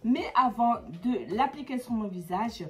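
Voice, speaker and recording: A woman's voice: a little high, animated, a little slow. Chatty woman with a stuffy nose. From Comoros. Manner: chatty, many hesitations. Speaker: beauty vlogger. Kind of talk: casual how-to vlog.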